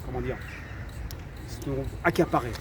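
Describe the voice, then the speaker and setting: A man's voice: mid-range voice, moderate pace. Hesitant man talking over street traffic. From France. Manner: hesitant, lots of fillers and repeated words, firm assertions. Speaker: vlogger. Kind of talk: opinion talk to camera.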